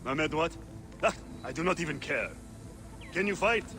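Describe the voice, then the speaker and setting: An actor playing a brash, mocking Roman arena owner in a video game cutscene, insulting and dismissive. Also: male voice, on the high side, expressive, moderate pace, lightly acted. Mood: mocking, impatient.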